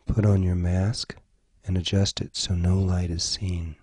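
A man leading a guided meditation, giving gentle, soothing instructions in short phrases. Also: deep voice, flat delivery, unhurried, husky, dry recording, clean studio sound. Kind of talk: slow guided meditation narration.

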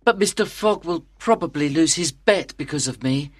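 A woman's voice: deep voice, lively intonation, moderate pace, slightly rough, no echo. A woman voicing an anxious, guilt-ridden manservant in a dramatized audiobook, earnest and worried. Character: Passepartout, anxious guilt-ridden male servant.

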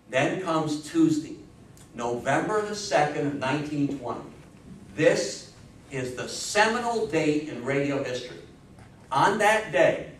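A man in his sixties giving a public history talk, speaking in an emphatic, deliberate storytelling way with rhetorical questions. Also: on the high side, a bit bright. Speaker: guest lecturer on history. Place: indoor meeting room with seated audience. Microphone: distant camera microphone. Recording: echoey room, distant mic, faint noise.

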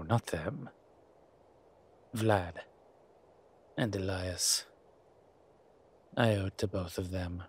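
A man playing a haughty, wry vampire gentleman in a roleplay audio drama, speaking formally and with refinement. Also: on the low side, breathy edge. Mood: determined, scornful. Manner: refined, formal, wry phrasing with hesitant pauses.

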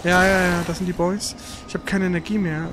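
A man giving casual, slangy let's play commentary while he plays, reacting out loud to the game. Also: high-pitched, little pitch movement, brisk, background noise. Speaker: let's player.

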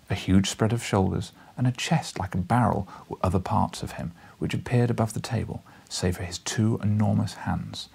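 A man in his thirties narrating an audiobook in a dramatic, expressive storytelling style. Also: deep voice, creaky, breathy edge. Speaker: audiobook reader. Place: small living room, indoors, seated in armchair.

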